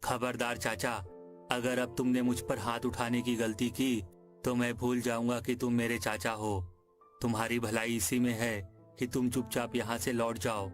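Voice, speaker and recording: A man's voice: medium pitch, flat delivery, normal speed, voice pushed down, natural voice. A man voicing a defiant, honest young village man in a cartoon story, firm and warning. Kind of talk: Hindi cartoon story acting. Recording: music behind the voice.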